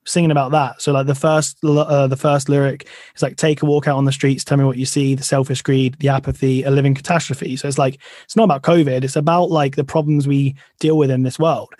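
A man's voice: mid-range voice, little pitch movement, brisk, hardly any pauses, darkish tone, no room sound, clean studio sound. A man in a pop punk band, answering interview questions in a loose, earnest way full of fillers.

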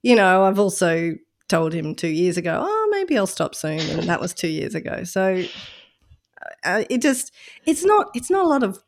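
A woman's voice: mid-range voice, very animated, normal speed. A woman co-hosting a podcast, chatting casually and reflectively about her volunteer role. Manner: chatty, reflective, self-deprecating. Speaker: podcast co-host, run director.

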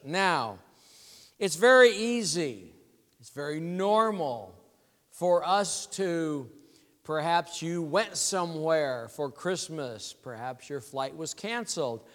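A congested male pastor from California preaching a sermon, explaining scripture in a measured teaching manner. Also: fairly high pitch. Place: church sanctuary. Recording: long reverb in a church hall.

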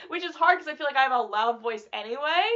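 A woman's voice: sits high, expressive, quick pace, sharp tone, lo-fi sound. A woman co-hosting a podcast in casual chat, restless and laughing often, swearing casually and drifting off the mic. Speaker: podcast co-host.

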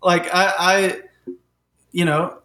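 A man's voice: high voice, little pitch movement, slow, crowd noise. A man, co-hosting a sports podcast, reminiscing with hesitant fillers. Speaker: podcast co-host. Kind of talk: casual sports chat.

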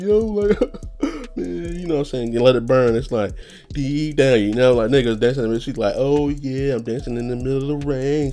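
A man's voice: medium pitch, mellow. A young man talking in a loose, rambling, profane monologue, a little nasal, laughing often. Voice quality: slightly nasal. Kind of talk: casual monologue.

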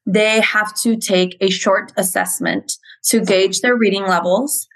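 Woman teacher, Mexican-born, earnest and explanatory, mid-pitched, interview. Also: steady tone, a little slow, a bit bright, low-quality recording. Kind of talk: interview conversation.

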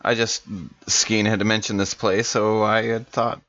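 A man's voice: on the low side, level tone, a little slow, a bit bright, dry recording. Man in a tabletop game, slightly muffled. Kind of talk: casual in-character improvised chat.

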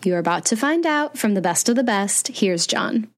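A woman hosts a podcast in an upbeat, friendly way. Her voice sits at a middle pitch, and she talks easily and conversationally as she leads the interview. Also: animated, moderate pace. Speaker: podcast host. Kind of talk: casual interview hosting.

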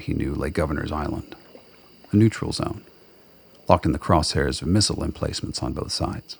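A man narrating a radio play with a gravelly, husky voice and measured, dramatic storytelling delivery. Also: rumbling low, slight background noise.

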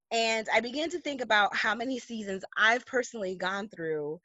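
An American woman hosting a podcast alone, talking reflectively in a measured, conversational, encouraging way. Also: mid-range voice, bright, forceful tone, dry recording, muffled recording. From New York and Florida, US. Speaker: podcast host. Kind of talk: reflective solo podcast talk.

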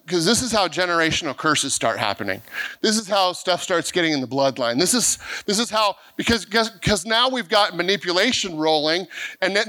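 A middle-aged man preaching with a high, bright, forceful voice. He speaks earnestly and stumbles over repeated words as he builds each point. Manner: earnest preaching with frequent restarts and stammered repeats; acts out quoted voices of people he describes. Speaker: pastor. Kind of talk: sermon.

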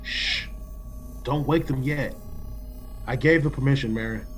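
A man playing a stern, practical colonial executive in a classic radio play, speaking with measured authority. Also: mid-range voice, faint music behind, close to an everyday voice.

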